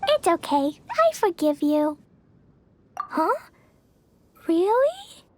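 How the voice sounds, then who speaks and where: A woman's voice: very high-pitched, big pitch swings, very slow, mellow, a little hiss or hum, exaggerated voice. A woman voicing an innocent, sweet and eager little girl in an anime video game, with a tiny childlike voice.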